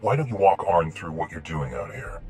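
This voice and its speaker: A man voicing a calm, authoritative military commander in a space fantasy video game. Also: low-pitched, fairly quick, music in the background. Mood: calm, directing.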